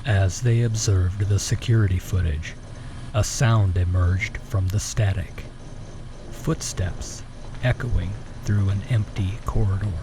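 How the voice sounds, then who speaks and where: A man's voice: sits low, steady tone, takes it slowly, background noise. A man narrating a scripted suspense story in a dramatic production.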